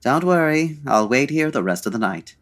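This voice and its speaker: An actor playing a suave, wry 1940s gentleman detective in a comedic radio drama, with dry, urbane and formal delivery. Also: medium pitch, lively intonation, normal speed, close to an everyday voice. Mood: dry, composed. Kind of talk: comedic old-time radio drama acting.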